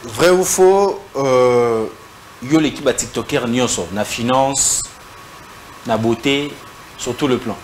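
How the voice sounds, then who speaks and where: A man hosts a relaxed talk-show interview and moves briskly through quick questions. His voice sits at an ordinary middle pitch. A faint hum runs underneath. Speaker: interview host. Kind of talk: casual interview in French mixed with Lingala. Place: indoor studio set.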